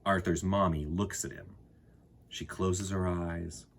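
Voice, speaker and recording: A man's voice: deep voice, steady tone, slow pace, slight background noise. A man hosting children's story time, reading and chatting expressively and enthusiastically, speaking straight to his young audience. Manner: enthusiastic, addresses listeners directly.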